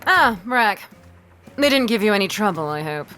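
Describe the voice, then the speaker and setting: A woman acts as a cold, commanding captain of a ship in an audio drama. Her voice sits at a middle pitch. She speaks curtly and imperiously, with stern authority. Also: very animated, normal speed, sharp tone, soft background music. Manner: curt, imperious.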